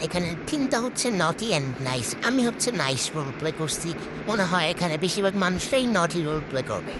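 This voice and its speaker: Female gaming host, Bangladeshi accent, slightly low voice run through a voice changer, chatty and exclamatory, clear background noise. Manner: chatty, exclamatory, self-talking, repeats words. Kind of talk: casual gameplay commentary.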